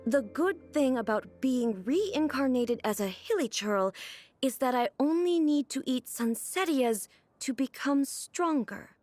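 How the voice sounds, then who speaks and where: An actress playing a regal, composed female ruler in a game, speaking in a calm, measured and formal way. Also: high-pitched, full character voice. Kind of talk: video game cutscene dialogue.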